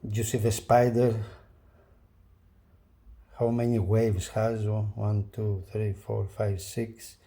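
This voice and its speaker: A man who is not a native English speaker presents a product unboxing casually, speaking in short, halting phrases. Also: fairly low pitch, flat delivery, mellow. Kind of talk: casual unboxing demonstration talk.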